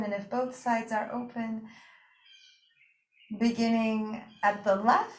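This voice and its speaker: Slow, higher-voiced woman guiding meditation. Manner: gentle, unhurried, invitational phrasing with long pauses. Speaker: yoga nidra and breathing teacher. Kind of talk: guided meditation and relaxation instruction. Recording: roomy, echoing room sound.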